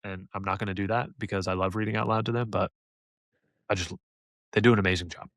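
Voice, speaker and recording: A man's voice: lowish voice, steady tone, fast talker, fairly bright tone, no room sound, clean studio sound. A man co-hosting a podcast, chatting casually and enthusiastically with emphatic praise. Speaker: podcast host. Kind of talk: casual review chat.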